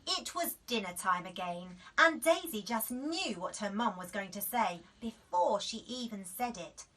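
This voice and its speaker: Female children's story reader: high, lively pitch, expressive picture-book delivery, slightly distant from the mic. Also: a little slow.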